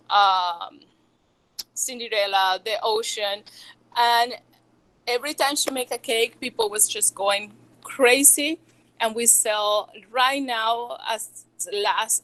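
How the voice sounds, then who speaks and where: A woman from Venezuela with a Venezuelan Spanish accent, a small business owner giving a nervous, halting talk with many restarts. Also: a little high, a bit bright, rough recording. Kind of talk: presentation to educators.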